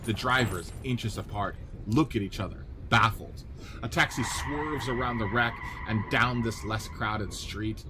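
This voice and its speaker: A man's voice: fairly low pitch, measured pace. Male radio play narrator over background noise. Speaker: narrator reading stage directions.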